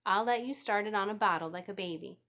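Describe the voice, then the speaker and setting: A woman voicing a calm, kindly farmer father in a storybook, with a measured, gently firm, dry manner. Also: mid-range voice, natural voice.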